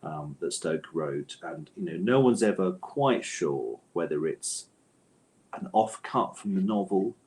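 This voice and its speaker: Man, low voice, author interview, conversational, discursive, repeated words and self-interruptions. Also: lively intonation, measured pace, a little mellow, slight background noise.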